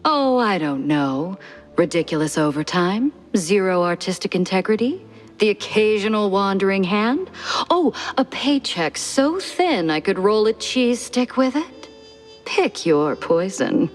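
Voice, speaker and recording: A woman's voice: medium pitch, very animated. A woman voicing a flirtatious, proud socialite mouse in a noir video game, arch and theatrical, drawing out her words. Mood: haughty. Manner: languid, arch, drawn-out words. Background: music.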